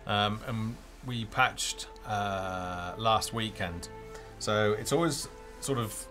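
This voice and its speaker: A man's voice: lowish voice, takes it slowly. A man hosting a casual game developer live stream, talking off the cuff in a hesitant, rambling way as he thinks aloud. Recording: music playing behind the voice.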